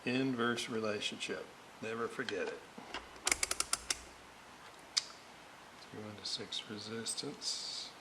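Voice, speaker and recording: A man with a gravelly voice, muttering haltingly to himself as he thinks aloud through technical work and repeats readings. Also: fairly low pitch. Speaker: radio restorer. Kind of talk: thinking aloud while working. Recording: clearly noisy workshop recording.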